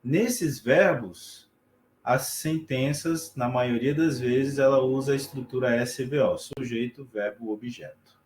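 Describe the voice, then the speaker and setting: A man, teaching a live online class from an echoey room on his computer mic. He explains patiently and keeps asking his students whether they follow. His voice sits at an ordinary middle pitch. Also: takes it slowly, a little hiss or hum. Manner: relaxed explaining with frequent check-ins to students. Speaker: sign language teacher.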